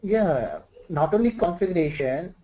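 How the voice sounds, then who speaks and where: A man with an Indian English accent explains things one-on-one as an instructor. His voice is mellow and soft-edged, and he speaks haltingly with lots of fillers and loose grammar. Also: sits high, sing-song, slightly rough. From Andhra Pradesh, India. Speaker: SAP HR instructor. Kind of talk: informal one-on-one tutoring.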